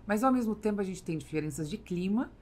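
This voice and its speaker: A woman's voice: medium pitch, lively intonation, quick pace, darkish tone. A woman in her forties with a Brazilian accent, hosting a casual podcast interview. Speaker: podcast host. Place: small foam-padded podcast studio. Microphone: close studio microphone on boom arm. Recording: faint background noise.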